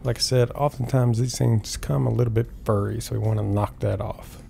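A middle-aged man calmly explaining woodworking steps one at a time in an instructional voice-over. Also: mid-range voice, brisk, creaky, slight background noise. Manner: calm, step-by-step explaining. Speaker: woodworking host.

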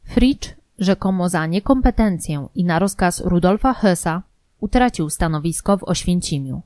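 A female narrator with a fairly low, mellow voice reads a documentary script. Her delivery is formal and measured, and the sound is close and dry, free of room echo. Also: animated.

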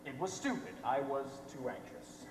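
Far off in an echoing theatre, a young man plays a brash reporter on stage. He swings his pitch widely and punches his words in slangy, theatrical bursts. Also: expressive, normal speed, natural voice. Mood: accusing. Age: young adult. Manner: theatrical, slangy, emphatic delivery. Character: brash, fast-talking male reporter exposing a scandal. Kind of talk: amateur stage play acting. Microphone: distant microphone. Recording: echoey theatre hall from far off, noisy.

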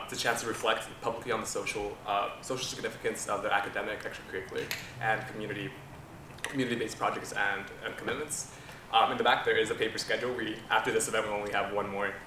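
A young man gives opening announcements in a university seminar room. He speaks quickly with a lowish voice, and the recording picks him up from a distance with room echo and clear background noise. His delivery is slightly halting, with repeated words. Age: young adult. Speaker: event host introducing the panel. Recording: echoey room heard from afar, background noise.

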